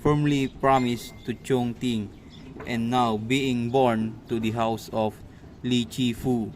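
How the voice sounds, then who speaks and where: Man playing stern, slow-spoken magistrate. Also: mid-range voice, steady tone, a little mellow, slight background noise, natural voice. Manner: formal, deliberate, interrogating. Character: stern, commanding magistrate questioning witnesses. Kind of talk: amateur radio drama acting.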